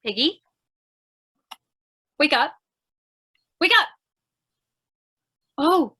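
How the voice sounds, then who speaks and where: A woman's voice: high-pitched, expressive, extremely slow, bright, forceful tone, heavily acted. A woman playing a scatterbrained, chatty, eager young lady reporter, earnest and theatrical, in old-time radio crime comedy style. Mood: fretful, chatty.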